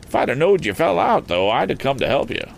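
A man voicing an excitable, suspicious country farmer with folksy, exclamatory talk in a storybook reading. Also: medium pitch, quick pace, vocal fry, slight background noise, pitched above the performer's own voice. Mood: curious, blunt. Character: excitable rustic old farmer, tall, suspicious then eager.

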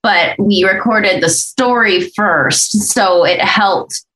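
A woman's voice: mid-range voice, slow, a bit bright, echoey room, rough recording. A woman who hosts and voice-acts on a podcast, chatting casually. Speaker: podcast voice actor and host.

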